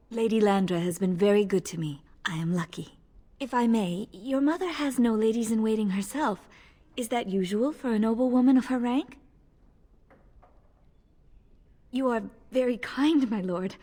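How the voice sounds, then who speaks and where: A woman as a breathy, modest elven servant. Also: on the high side, close to an everyday voice. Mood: polite, grateful. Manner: polite, deferential, soft-spoken. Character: modest, gentle elven lady-in-waiting, a young mother. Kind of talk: video game voice acting. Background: music.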